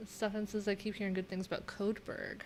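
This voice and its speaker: A woman's voice: medium pitch, flat delivery, fast, a little mellow, faint music behind. A middle-aged woman hosting a casual livestream, thinking aloud and reading from her screen with wry, offhand asides. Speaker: livestream host and web developer. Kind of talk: casual live coding stream chat, thinking aloud. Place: small indoor room at home.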